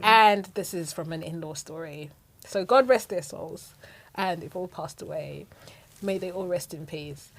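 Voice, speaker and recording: Lively Zimbabwean-born woman storyteller. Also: on the low side, measured pace. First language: Shona. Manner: animated, laughs often, acts out quotes. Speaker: podcast co-host. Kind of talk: casual chat, storytelling.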